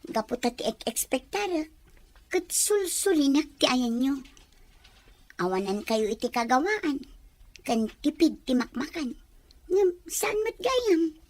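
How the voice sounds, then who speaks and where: A woman playing a frank, eager young runaway daughter in an Ilocano audio drama, expressive and girlish. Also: high-pitched, measured pace, pauses often. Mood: frank, surprised.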